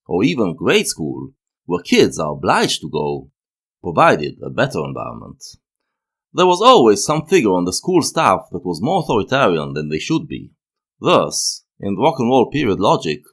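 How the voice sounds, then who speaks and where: A man with a low voice narrates a scripted analytical essay. His pitch swings very widely as he gives his opinions in formal phrasing, like the host of a review series. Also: vocal fry, fairly bright tone.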